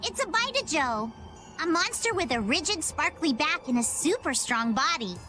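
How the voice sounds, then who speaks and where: A high, lively young pirate girl in an anime game. She is plucky and cocky, a little know-it-all, with melodic swings in her speech. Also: moderate pace, faint music behind, full character voice. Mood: matter-of-fact. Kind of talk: anime video game dub acting.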